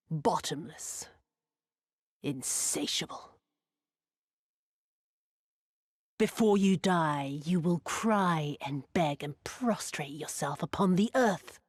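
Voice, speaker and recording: An actress playing a cruel, vengeful woman in a video game, mocking and imperious, with a theatrical, drawn-out delivery that sinks into breathy whispers. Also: lowish voice, dry recording, studio quality, heavily acted. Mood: cold menace. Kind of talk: dramatic video game cutscene acting.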